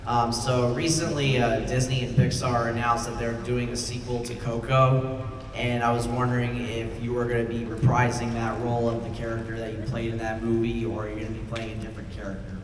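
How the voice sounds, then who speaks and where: A man's voice: medium pitch, flat delivery, brisk, noisy background. A man from the audience asking a question hesitantly, full of fillers. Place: large convention hall. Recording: echoey hall, distant mic.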